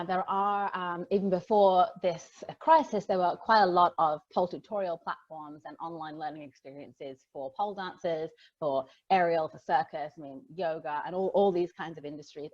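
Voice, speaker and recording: A low-voiced woman hosts in a thoughtful way, often pausing to restart her sentences. The sound is dull and lo-fi. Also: a little mellow. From Australia. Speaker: host, digital marketer. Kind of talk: casual conversation.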